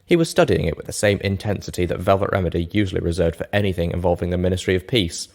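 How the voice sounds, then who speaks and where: Deep-voiced man narrating a story. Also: quick pace, a little mellow. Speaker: narrator of a fan fiction reading show. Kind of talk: first-person scripted story narration.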